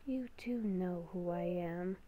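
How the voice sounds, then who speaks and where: A woman voicing a mysterious, insistent inner voice in a breathy whisper, cryptic and calmly persistent, for a game roleplay. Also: fairly low pitch, slow, no echo, phone-quality sound, pitched below the performer's own voice.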